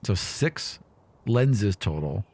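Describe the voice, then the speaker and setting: This is a man with a fairly low voice, acting as game master at a tabletop fantasy game. He improvises his descriptions casually, piling up loose phrases as he explains the scene. It sounds like talk among players, not a scripted reading. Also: expressive, takes it slowly, darkish tone, breathy edge.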